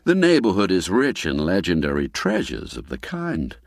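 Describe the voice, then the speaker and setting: A man narrating a classic spooky tale in a measured, expressive storytelling manner. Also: low voice, creaky, no room sound. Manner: measured, expressive storytelling with sweeping rises and falls. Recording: dry studio with faint music.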